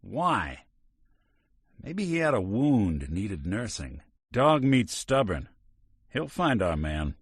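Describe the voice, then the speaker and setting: An actor voicing a weary synth detective in a video game, a gruff middle-aged man with a dry, wisecracking manner. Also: fairly low pitch, sing-song, slow pace, pauses often, no room sound, full character voice. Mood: focused. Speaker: companion character. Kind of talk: game character barks.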